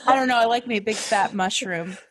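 A woman's voice: mid-range voice, brisk, fairly bright tone. A woman co-hosting a podcast, making playful crude jokes. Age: 30s. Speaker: podcast co-host. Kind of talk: casual banter podcast.

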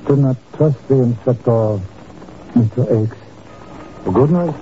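A man's voice: mid-range voice, takes it slowly, dark tone, a little hiss or hum, close to an everyday voice. A man playing a suave Indian rajah in an old radio drama, courtly and formal, with veiled menace. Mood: smooth, guarded. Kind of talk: 1950s radio drama acting. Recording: muffled old radio recording, faint music.

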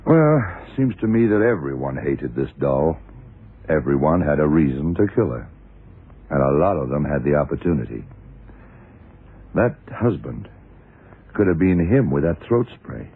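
A shrewd police detective in a vintage radio thriller. His voice is very deep and a little rough, and he probes deliberately, step by step. The sound is tinny and narrow, like an old broadcast. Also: sing-song, heavily acted. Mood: probing, methodical. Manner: deliberate, probing, clipped questioning. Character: shrewd, methodical male police detective in charge. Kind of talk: 1960s-70s radio drama acting.

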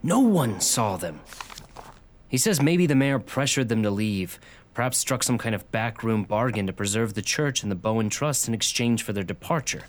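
An actor playing an earnest, haunted man in a radio drama, intense and convinced of a dark mystery. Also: on the low side, expressive, moderate pace, slight background noise, lightly acted. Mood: grave, recounting. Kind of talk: radio drama dialogue and storytelling.

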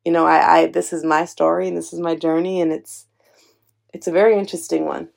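A young woman with a low, gravelly edge to her voice, speaking in an earnest, encouraging way. Her tone is mellow and relaxed, with a slightly lower pitch than most women. She talks personally and directly to the listener, like a host sharing her own story. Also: brisk. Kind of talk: heartfelt personal talk to camera.

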